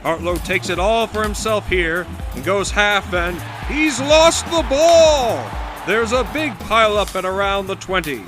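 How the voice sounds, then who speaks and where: An actor playing an excited, florid 1920s radio football announcer calling play-by-play in a period drama. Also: male voice, high-pitched, measured pace, over music. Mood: thrilled, shouting. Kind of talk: 1920s radio sports commentary.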